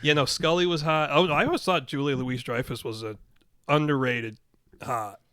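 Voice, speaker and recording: A man around forty in relaxed podcast banter, playing the calmer straight man and teasing his friend. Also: mid-range voice, moderate pace, smooth, no room sound, clean studio sound. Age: 40s. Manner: easygoing, plays straight man, mild teasing. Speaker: podcast co-host. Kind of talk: casual banter.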